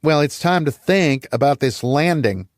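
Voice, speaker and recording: Man voicing a brisk female pilot, pitched up. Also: expressive, no room sound, clean studio sound. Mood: brisk, alert. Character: brisk, teasing woman pilot instructing over headset.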